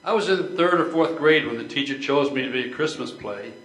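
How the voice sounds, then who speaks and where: A genteel, self-deprecating would-be actor in a period stage play, read by an older man. He confides conversationally in a mid-pitched voice. The room echoes strongly around him. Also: fairly quick, a bit bright, natural voice. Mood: reminiscing. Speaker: amateur readers theater performer.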